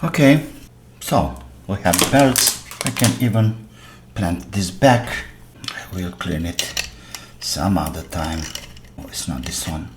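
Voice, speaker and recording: A deep-voiced man talks slowly and half to himself as he works at his bench, dropping short remarks between tasks. The clink and clatter of tools carries clearly behind his voice. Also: lively intonation, background noise. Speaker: repair channel host. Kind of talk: quiet workshop commentary while repairing. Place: workbench.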